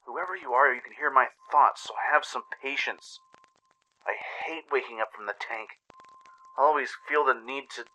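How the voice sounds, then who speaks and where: A man's voice: medium pitch, moderate pace, sharp tone, slight background noise, pitched above the performer's own voice, poor audio. A man voicing a confused, hesitant young female pony who stammers as she wakes from stasis in an audio drama. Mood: irritated.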